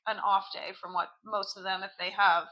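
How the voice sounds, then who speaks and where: A woman co-hosting a podcast in casual chat, her voice hoarse from cheering, with many "like" fillers. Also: medium pitch, level tone, normal speed, bright, forceful tone, rough recording. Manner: chatty, lots of "like" fillers. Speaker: podcast co-host.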